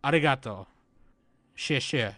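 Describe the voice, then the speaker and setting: A man's voice: medium pitch, lively intonation, unhurried, sharp tone, no echo, clean studio sound. A young man hosting a podcast in a laid-back way, swearing casually and laughing often. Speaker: podcast host.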